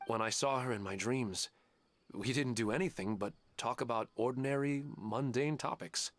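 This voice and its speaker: An actor playing a grieving widowed father in a game, weary, quiet and polite, with game music behind. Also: mid-range voice, normal speed, no room sound, lightly acted. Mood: sad. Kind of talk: game character dialogue.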